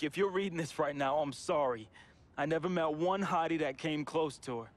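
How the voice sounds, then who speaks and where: A man's voice: mid-range voice, lightly acted. An actor playing a troubled young man in a game, reading a confessional farewell letter as a heartfelt voice-over with casual slang. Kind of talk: emotional voice-over monologue. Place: studio voice-over.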